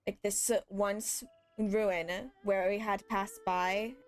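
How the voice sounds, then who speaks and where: A woman's voice: medium pitch, fairly even intonation, slow, faint music behind. A woman streaming a game with a friend, talking casually and hesitantly as she searches for words. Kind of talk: casual gameplay chat.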